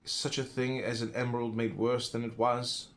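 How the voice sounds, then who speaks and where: A man's voice: medium pitch, flat delivery, moderate pace, a bit bright. An American man reading classical philosophy aloud as an audiobook, in a solemn, emphatic, declamatory manner. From United States. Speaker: audiobook reader.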